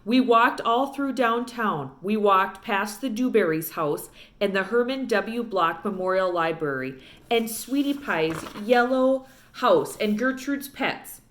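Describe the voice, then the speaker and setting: A woman's voice: mid-range voice, expressive, slow pace, fairly bright tone. A woman narrating a children's book aloud expressively, recorded with roomy echo.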